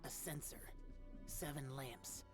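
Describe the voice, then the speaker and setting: A man's voice: medium pitch, steady tone, slow pace, natural voice. A man voicing a stern, aloof immortal warrior in a video game, speaking in a terse, solemn, formal way. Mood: instructive. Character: stern, aloof young-looking male immortal demon slayer. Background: game music.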